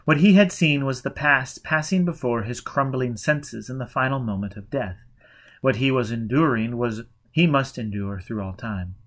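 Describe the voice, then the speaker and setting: A man's voice: mid-range voice, fairly bright tone. A man narrating a novel in an intense, theatrical storytelling delivery. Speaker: amateur audiobook reader. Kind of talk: dramatic scripted narration of a novel.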